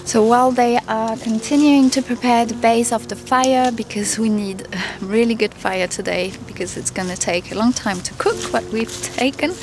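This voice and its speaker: A woman's voice: on the high side, fairly even intonation, moderate pace, hardly any pauses, background noise. Chatty woman vlogging outdoors. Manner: friendly, chatty, with small laughs and fillers. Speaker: vlogger, mother. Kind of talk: casual vlog narration to camera. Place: outdoors in a winter forest by a car. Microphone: camera held at arm's length.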